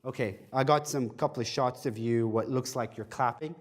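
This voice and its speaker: Fast-talking man giving casual lecture. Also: medium pitch. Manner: fast-talking, self-deprecating, frequent fillers. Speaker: guest speaker at startup workshop. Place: event space, in front of audience.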